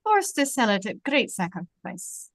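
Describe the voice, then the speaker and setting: A woman playing a furtive, obsequious man peddling a ring, hushed and deferential, in an amateur script read-through. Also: mid-range voice, very animated, measured pace.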